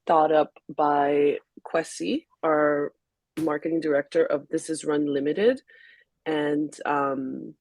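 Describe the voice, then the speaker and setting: A woman from Haiti whose first language is French, a magazine founder answering questions on an online webinar call in a soft, unhurried way with frequent ums. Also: low-pitched, gravelly, dry recording. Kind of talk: webinar interview.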